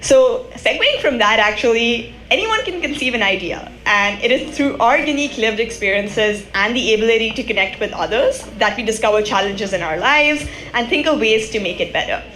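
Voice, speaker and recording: Female conference host, slightly high pitch, prepared introduction, strongly reverberant venue sound. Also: expressive, moderate pace, few pauses, fairly bright tone. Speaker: event emcee introducing a panel. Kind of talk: scripted event introduction. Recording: echoey room, live event PA.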